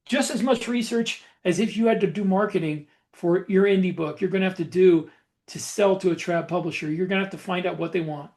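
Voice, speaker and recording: A man's voice: high-pitched, a little mellow. A man co-hosting a podcast, explaining things in a casual, matter-of-fact way. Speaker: podcast co-host, indie author. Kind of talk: casual advisory chat.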